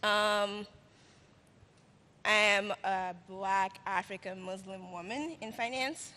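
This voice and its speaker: A woman's voice: mid-range voice, slow pace, sharp tone. Hesitant French-native woman speaking on stage. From grew up in Paris, France. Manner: hesitant, many fillers. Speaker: finance professional, conference attendee. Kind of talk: on-stage conversation. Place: conference stage with audience. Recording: long hall reverb.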